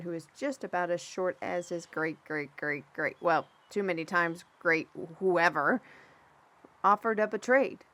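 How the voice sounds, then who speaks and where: A woman playing a chatty, easily flustered storyteller in a fantasy audio roleplay, with an expressive, hesitant delivery. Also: fairly low pitch. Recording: music behind the voice.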